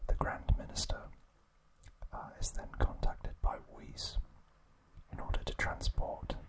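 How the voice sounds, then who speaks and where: A man whispering an ASMR recap narration in short, hushed, unhurried phrases. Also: rough recording.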